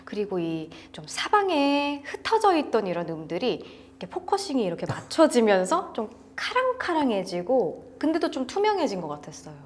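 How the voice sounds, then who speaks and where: A woman with a very animated, varied pitch, speaking as co-host of a gear review. She shares her impressions in a conversational style. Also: moderate pace, hardly any pauses.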